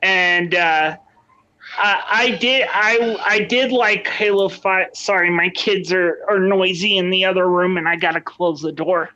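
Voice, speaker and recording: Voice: medium pitch, unhurried, pushed and bright. A podcast co-host chatting casually from home on their own mic, hesitant with many ums and restarts. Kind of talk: casual chat. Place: home, with children in another room. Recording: dull lo-fi sound.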